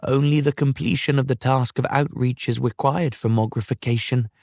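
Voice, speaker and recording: A man voicing a calm, guiding female spirit in a storybook reading, serene, formal and cryptic. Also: medium pitch, moderate pace, creaky, no room sound, clean studio sound, lightly acted. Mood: instructing. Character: calm, guiding ghost of Scrooge's sister.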